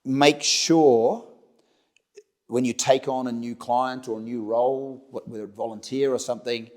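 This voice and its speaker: Middle-aged New Zealand man, halting delivery. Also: mid-range voice, fairly even intonation, a little mellow. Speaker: interview guest, company director. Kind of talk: conversational interview answers.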